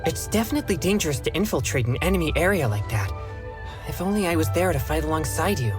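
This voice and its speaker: A man's voice: a little high, sing-song, quick pace, over music. A man voicing an earnest, put-upon young exorcist in a game cutscene, grumbling and reluctant.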